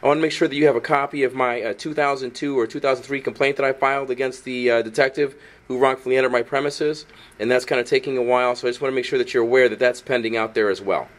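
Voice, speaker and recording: A man's voice: mid-range voice, fairly even intonation, fast. A man speaking as an outspoken activist journalist, wordy, insistent and argumentative. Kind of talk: outspoken video commentary and confrontational phone call.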